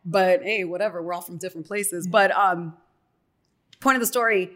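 A woman, a radio personality chatting as a guest in a lively, slangy storytelling way full of fillers. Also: lowish voice, moderate pace. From Chicago, USA. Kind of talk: casual interview talk.

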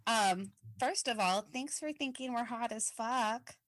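A woman's voice: fairly high pitch, unhurried, a bit bright, no echo, studio quality. A woman co-hosting a casual podcast, chatty and full of fillers, reading listener mail and giving advice. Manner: chatty, filler-heavy, laughs easily. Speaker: podcast co-host.

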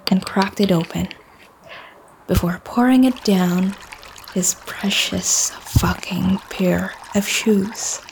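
A woman acts out a wounded, bitter young woman telling her story in an audio drama. She speaks slowly in a mid-pitched voice, emotional and often hushed, with some background noise. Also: poor audio. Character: hurt, bitter young woman confronting the man she loves. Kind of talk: first-person audio drama narration and dialogue. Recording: noticeable background noise and ambience.

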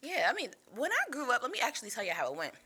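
A woman's voice: high voice, wildly swooping pitch, racing, bright, forceful tone, breathy edge. A young woman hosting a casual chat show, playful and teasing, talking to a live audience. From New York. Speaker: show host.

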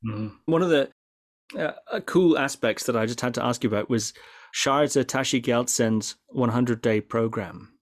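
A man interviewing a guest in a measured, appreciative, conversational way. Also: mid-range voice.

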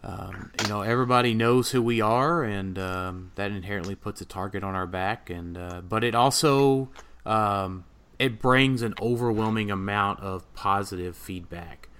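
A man hosting a casual gaming podcast, rambling and often pausing to gather his thoughts. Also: fairly low pitch, steady tone, a bit bright, clean tone. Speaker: podcast host and competitive gamer. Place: voice recording for a group podcast.

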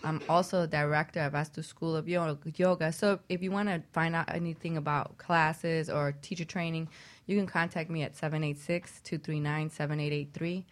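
A woman's voice: sits low, steady tone, moderate pace. A woman hosting a radio show in a casual, chatty style. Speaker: radio show host, yoga teacher.

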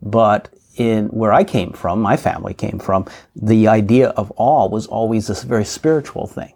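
A man's voice: lowish voice, moderate pace, creaky, a little mellow, slightly rough. A man whose first language is Portuguese, talking reflectively in a casual podcast discussion and often adding a questioning "right?". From Portuguese-speaking country. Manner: reflective, asks rhetorical "right?".

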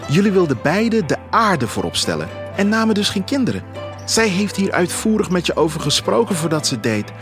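A man's voice: high-pitched, very animated, brisk, music in the background, pitched above the performer's own voice. A man voicing an insistent male therapist who reasons emphatically and persuasively in a radio play. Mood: earnest, persuasive.

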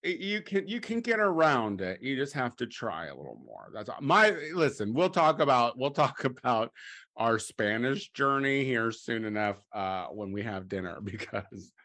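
A man's voice: medium pitch, big pitch swings, normal speed, pushed and bright. A man co-hosting a podcast, teasing and brash with a lot of swearing. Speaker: podcast co-host. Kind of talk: casual chat.

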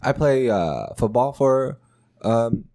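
A man's voice: medium pitch, animated, takes it slowly, dark tone. A man from Taiwan, a comedian guest on a podcast, chatting in a goofy, self-mocking way. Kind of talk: casual podcast chat.

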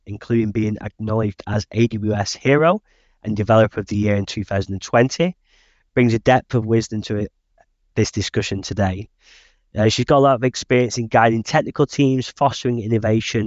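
A man's voice: lowish voice, dry recording. A man hosting a webinar interview over a video call, with meandering phrasing and a habit of saying "I suppose". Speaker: host and recruitment director. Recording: dull, dry lo-fi call audio.

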